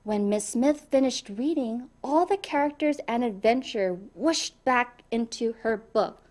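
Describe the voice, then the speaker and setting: Woman, high-pitched, slow-paced, expressive children's read-aloud, speaks to young listeners. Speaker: storybook reader.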